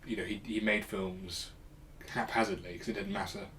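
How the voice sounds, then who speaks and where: A man's voice: fairly low pitch, fairly even intonation, moderate pace, a bit bright, slight background noise. A man co-hosting a podcast in casual chat, blunt and sweary in the way he talks. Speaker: podcast co-host.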